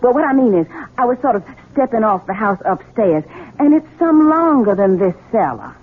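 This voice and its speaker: An actress playing a vain, headstrong belle bride in a 1970s radio mystery, theatrical and haughty. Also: female voice, on the high side, lively intonation, moderate pace, breathy edge. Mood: imperious, curious. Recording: muffled, tinny old radio broadcast.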